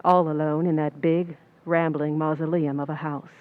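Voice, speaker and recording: A woman's voice: low voice, little pitch movement, normal speed, narrow, tinny audio, lightly acted. A woman playing a cool, ambitious, scheming young Hollywood stand-in in an old-time radio suspense play, with hard-boiled, knowing delivery. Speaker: actress in a community playhouse radio play. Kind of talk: old-time radio suspense acting with first-person narration.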